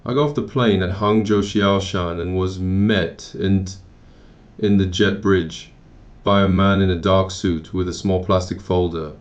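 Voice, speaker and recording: A man from Taiwan reads his own memoir in a low, steady voice, measured and matter-of-fact, now and then restarting a word. The recording sounds dull and lo-fi. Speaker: memoir narrator. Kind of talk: first-person memoir narration.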